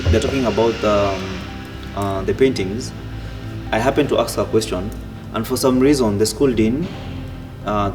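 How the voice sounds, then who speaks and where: A man, telling his own story in a relaxed way with many fillers and restarts. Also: fairly low pitch. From Kenya. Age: 30s. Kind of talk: casual personal storytelling. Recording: distant mic with music behind.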